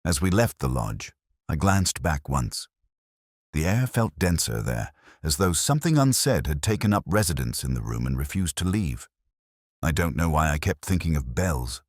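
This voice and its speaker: A man narrating a first-person detective story in a measured, literary, brooding manner with a husky voice. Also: very deep voice, sing-song, no room sound, studio quality.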